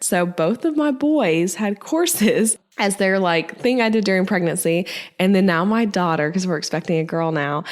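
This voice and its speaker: Thirties woman, podcast host, lower-pitched, a bit stuffy from a cold, bubbly filler-heavy chat. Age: 30s. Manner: chatty and upbeat, filler-heavy with many "like"s. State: slightly congested from a cold. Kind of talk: casual solo podcast talk.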